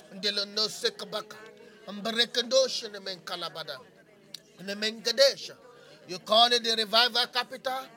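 A man's voice: very high-pitched, sharp tone. A man preaching in fervent charismatic prayer, repeating phrases like a chant and breaking into speaking in tongues. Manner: impassioned, repetitive, incantatory phrasing.

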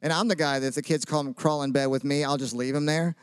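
A man's voice: a little high, steady tone, quick pace, clear, resonant tone, no room sound, clean studio sound. A man, preaching a casual sermon full of jokes, questions to the audience and personal stories. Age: 30s. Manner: chatty, joking, asks the audience questions.

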